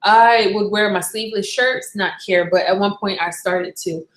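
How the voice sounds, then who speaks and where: A woman's voice: mid-range voice, normal speed. A young woman from Barbados chatting casually on a live stream, candid and rambling, often correcting herself mid-sentence. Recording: echoey room.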